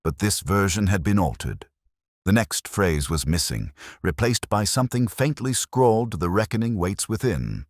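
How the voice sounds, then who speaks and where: A man playing a melancholy, literary police detective, recounting a case in a measured, solemn, reflective way as scripted audio mystery narration. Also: on the low side, very animated, no echo, studio quality. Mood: brooding, reflective.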